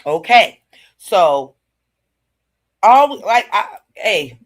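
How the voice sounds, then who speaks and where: A woman co-hosting a live-stream discussion, speaking bluntly in a relaxed way. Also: medium pitch, very slow. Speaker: co-host.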